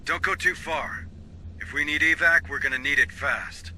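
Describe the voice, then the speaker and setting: An actor playing a gruff, no-nonsense marine squad leader in a video game, clipped and commanding. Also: male voice, fairly high pitch, normal speed, bright, forceful tone, low-quality recording. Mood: urgent, commanding. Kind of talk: video game military drama.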